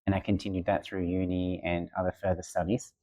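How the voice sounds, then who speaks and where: A man, a teacher answering interview questions in a relaxed way with many ums. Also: low voice, fast, low-quality recording. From Australia. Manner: relaxed, many ums and uhs, plain-spoken. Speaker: teacher and guest. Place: school room.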